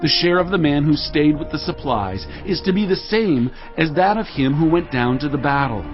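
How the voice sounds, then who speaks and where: A man's voice: fairly high pitch, lively intonation, moderate pace, close to an everyday voice. A man playing a devout, resolute warrior leader of ancient Israel in an audio Bible drama, earnest and commanding. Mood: firm. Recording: dull audio with music behind.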